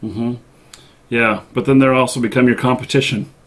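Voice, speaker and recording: A man's voice: mid-range voice, steady tone, moderate pace, background noise. A man chatting casually as host of a live talk show.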